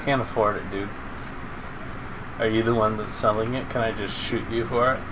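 A man's voice: lowish voice, fairly even intonation, moderate pace, noisy background. A middle-aged man giving casual, slangy live commentary with some swearing as he streams a video game. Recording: game cars and gunfire behind. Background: game ambience, vehicles, gunfire.

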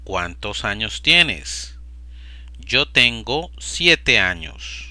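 Man, very slow deliberate delivery, sharp bright tone, dry close sound. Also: on the low side, very animated, dry recording. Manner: slow, deliberate, evenly paced. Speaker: Spanish teacher. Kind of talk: slow repetition drill for learners.

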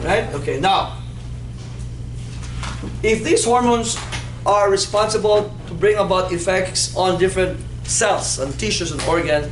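Man, 50s, anatomy instructor, animated lecture, echoey room, background noise. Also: high voice. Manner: animated, playful, asks the class questions. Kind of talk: classroom lecture with call-and-response. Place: classroom in front of a whiteboard. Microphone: far camera.

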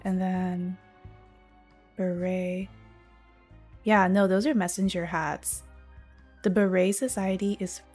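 A woman streaming a game with casual, deadpan, mock-critical reactions in a slightly hoarse voice. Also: on the low side, drawn-out words, pauses often, faint music behind.

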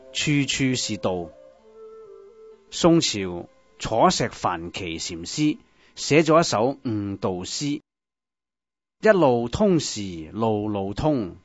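A man with a Hong Kong Cantonese accent delivers scripted Buddhist narration in a measured, expressive way, as if reciting verse. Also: mid-range voice, no room sound.

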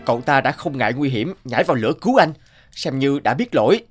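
A man voicing a kindly, protective owl leader in an animated fable, with a gentle, reassuring and measured manner. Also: mid-range voice, breathy edge, soft background music. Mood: forgiving.

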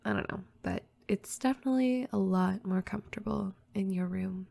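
A woman playing a playful, teasing young girl next door in an intimate, casual roleplay audio, soft-spoken and giggly. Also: medium pitch, moderate pace, creaky. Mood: sleepy, soft.

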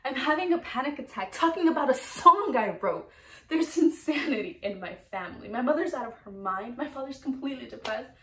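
A woman's voice: sits high, animated, fairly quick, fairly bright tone, reverberant, far from the mic, pitched above the performer's own voice. A woman performing a dramatic stage monologue as a bitter, despairing musician, with intense, pained earnestness. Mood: distressed, bitter.

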